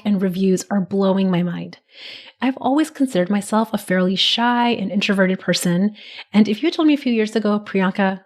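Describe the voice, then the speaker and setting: Slightly raspy woman podcast host. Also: mid-range voice, a little mellow. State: raspy, recovering from a cold. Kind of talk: solo podcast monologue.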